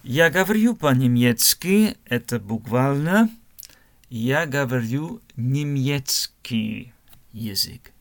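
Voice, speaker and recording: Guy teaching a lesson super slowly, spells everything out letter by letter. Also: medium pitch, lively intonation. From Germany. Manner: spells words letter by letter, repeats phrases slowly. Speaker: language teacher. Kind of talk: slow spelled-out language lesson.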